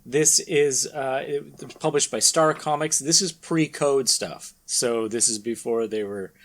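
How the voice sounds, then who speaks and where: A man hosting a podcast with casual banter, speaking in a halting, rambling way. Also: mid-range voice. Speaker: podcast host. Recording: background noise.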